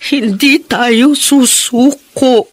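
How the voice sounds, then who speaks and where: A devoted, encouraging wife and daughter-in-law from a radio drama. Her voice sits fairly high and she takes her time, heartfelt and earnest. The sound is dry and close. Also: very slow, no room sound. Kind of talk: Filipino radio drama acting.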